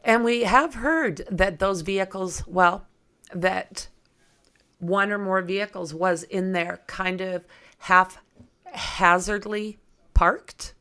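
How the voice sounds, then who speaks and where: Woman livestream host, real creaky voice, takes her time, chatty and informal like shes thinking out loud. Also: medium pitch, takes it slowly. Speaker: true crime livestream host. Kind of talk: reading documents aloud with casual asides to viewers.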